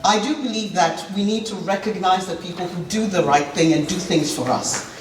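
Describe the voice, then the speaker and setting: A woman's voice: lowish voice, normal speed. Middle-aged woman, earnest campaign speech, roomy distant hall sound with faint hiss. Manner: earnest, passionate, repeats herself. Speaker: election candidate.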